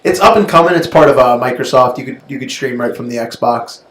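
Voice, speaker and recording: A man's voice: medium pitch, brisk, a bit bright. A man in his twenties co-hosting a podcast with friends, chatting in a laid-back way and laughing often. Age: 20s. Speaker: podcast co-host. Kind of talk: casual chat among friends. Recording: echoey room with faint noise.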